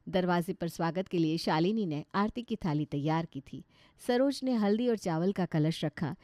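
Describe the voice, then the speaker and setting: A woman's voice: lowish voice, warm tone, dry recording, studio quality. An Indian-accented woman giving scripted storybook narration.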